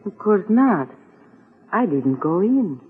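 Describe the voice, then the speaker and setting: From an echoing, narrow vintage radio recording comes a naive young woman in love. Her voice is breathy and earnest, with defiant melodrama in the 1940s manner. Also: medium pitch, very animated, slow, exaggerated voice. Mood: lovestruck, anxious. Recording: muffled, narrow-band old radio recording, reverberant.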